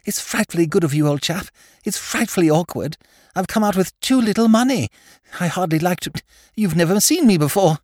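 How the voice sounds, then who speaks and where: Giddy young aristocrat, high and breathless, read by a man doing the voice, swooping lively delivery. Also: fairly quick, no echo, studio quality. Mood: flustered. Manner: breathless, high-pitched, gushing upper-class chatter. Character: giddy weedy young English lord, male, twenties.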